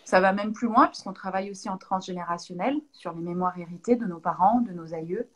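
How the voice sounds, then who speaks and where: A French woman from Lyon in her thirties, a naturopath answering interview questions in a thoughtful way, with pauses and restarts. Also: lowish voice, fairly bright tone. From Lyon, France. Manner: thoughtful, many hesitations and restarts.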